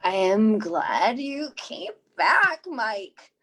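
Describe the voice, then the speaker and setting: A woman playing a big, soft-hearted male monster with a halting, emotional delivery in a script read. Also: fairly high pitch, sing-song, breathy edge, exaggerated voice. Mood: relieved. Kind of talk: cast table read of a film script.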